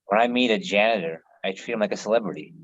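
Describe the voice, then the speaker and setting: A man's voice: fairly low pitch, fast talker, fairly bright tone, highs cut off. An American man chatting casually on a live video call, laid-back, laughing often and swearing freely. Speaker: host.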